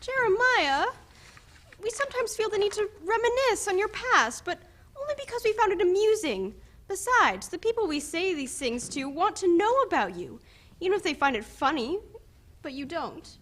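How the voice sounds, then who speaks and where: Woman playing a high-voiced, fond mother. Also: sing-song, normal speed, a bit bright, heavily acted. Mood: explaining. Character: fond, nostalgic middle-aged mother. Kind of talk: dramatic reading of a student play.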